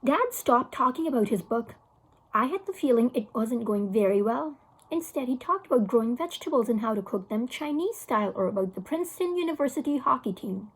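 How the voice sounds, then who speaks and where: High-pitched, husky woman reading aloud. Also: animated, brisk, rough recording. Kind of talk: children's novel read-aloud.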